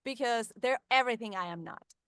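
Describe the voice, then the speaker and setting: Mexican lady chatting on a podcast, smooth clear voice, dry close sound, friendly. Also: on the high side, fairly quick, a bit bright, no echo. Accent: Mexican Spanish. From northern Mexico. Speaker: voice actress, guest. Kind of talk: casual interview chat.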